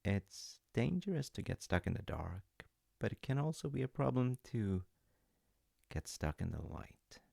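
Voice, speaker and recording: A man voicing a caring, patient father in an audio roleplay. He talks softly and reassuringly, sometimes dropping to a whisper. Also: medium pitch, very animated, gravelly, dry recording, clean studio sound, exaggerated voice. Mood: gentle. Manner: soft, reassuring, unhurried, drops to whispers at times. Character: caring middle-aged dad, gentle and patient. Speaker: voice actor.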